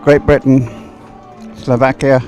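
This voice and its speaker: A man calling a race live in a halting way, repeating words and dropping dry asides. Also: medium pitch, flat delivery, darkish tone, faint music behind. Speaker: race commentator.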